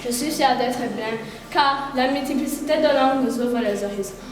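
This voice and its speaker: A woman's voice: a little high, moderate pace, noisy background. A teenage girl, reciting a poem earnestly and declaratively at a school event. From Burundi. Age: teens. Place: large hall. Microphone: far from the microphone. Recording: echoey hall from far off, background ambience.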